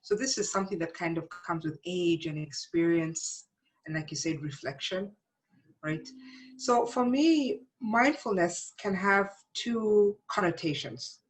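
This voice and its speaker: Lower-voiced middle-aged woman. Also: measured pace, rough recording. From born in Uganda, grew up in South Africa. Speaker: interview guest, psychologist and teacher. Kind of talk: reflective conversational interview.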